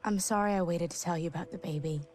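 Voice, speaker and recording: An actress playing a tender young wartime sweetheart reading her letter in a soft, apologetic voice-over. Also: on the low side, quick pace, a little hiss or hum, lightly acted. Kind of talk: letter voice-over in war game cinematic.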